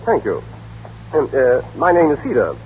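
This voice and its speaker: An actor playing an older city attorney, formal, impatient and halting, in a 1930s radio drama. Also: male voice, mid-range voice, huge pitch swings, a little mellow. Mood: polite, formal. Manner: halting, businesslike, full of hesitations. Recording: dull, noisy old radio recording.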